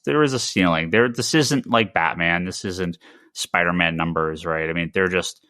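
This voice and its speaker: A man's voice: low-pitched, moderate pace, sharp tone, no echo, studio quality. An American man hosting a casual podcast discussion, giving opinions emphatically and stammering over repeated words. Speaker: podcast host; comic shop retailer.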